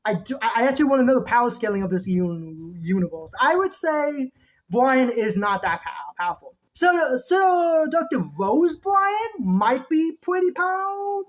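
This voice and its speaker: A woman's voice: high-pitched, big pitch swings, moderate pace. A woman giving casual reaction commentary, chatty and rambling, who keeps saying just saying, with a sore throat. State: sore throat, losing her voice. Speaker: video reactor.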